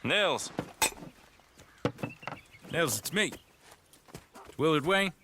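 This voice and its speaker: Friendly male gunhand for a Western game, folksy, slightly high voice with clear background noise. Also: animated, very slow. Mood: reassuring. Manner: friendly, reassuring frontier talk. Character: genial, folksy hired gun making introductions. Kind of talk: game cutscene acting.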